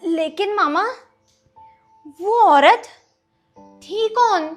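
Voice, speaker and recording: A woman's voice: very high-pitched, very slow, soft background music, full character voice. A female performer playing an obedient, trusting, helpful young girl in an acted moral-story skit. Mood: hurt, upset.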